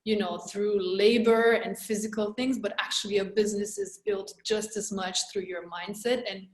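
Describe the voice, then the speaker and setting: A woman's voice: medium pitch, fairly even intonation, moderate pace, reverberant. A young woman from Romania, a mindset coach and guest, talking in a flowing, reflective way and repeating words as she thinks. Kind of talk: casual interview conversation.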